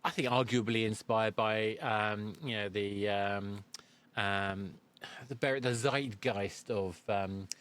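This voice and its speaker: A man's voice: lowish voice, steady tone, takes it slowly, a bit bright, clear, resonant tone. A man in his fifties hosting a casual interview, hesitant and often searching for his words. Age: 50s. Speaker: podcast host.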